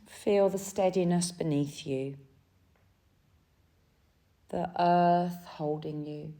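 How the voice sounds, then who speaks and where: Very slow, low, mellow woman guiding meditation. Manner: gentle, soothing, pausing between short phrases. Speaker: yoga nidra guide. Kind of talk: guided relaxation meditation.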